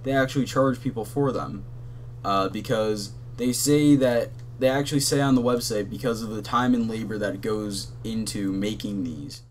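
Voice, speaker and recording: Young man, lowish voice, monotone, rambling, lots of fillers and swearing, bedroom recording, faint hum. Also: normal speed. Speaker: gaming channel host. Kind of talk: casual rambling commentary. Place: hot bedroom at his console.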